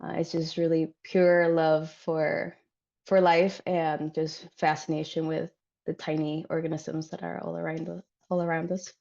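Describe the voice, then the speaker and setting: A woman's voice: low voice, flat delivery, unhurried, gravelly, a little mellow, dull audio. A woman from Mexico, a PhD student, speaking earnestly on an online panel. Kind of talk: panel discussion. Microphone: video call.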